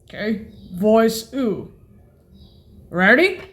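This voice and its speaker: A woman demonstrating keyboard sound presets in an informal tutorial, speaking haltingly, listing items and often correcting herself. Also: medium pitch, big pitch swings, a bit bright.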